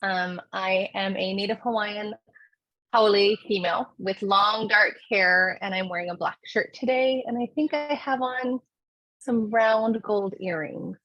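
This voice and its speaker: A woman in her forties hosts a webinar from her office over a video call, greeting people in a friendly way and pausing with ums. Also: mid-range voice, fairly bright tone, no echo, dull audio. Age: 40s. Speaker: principal investigator and meeting host. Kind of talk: webinar introduction.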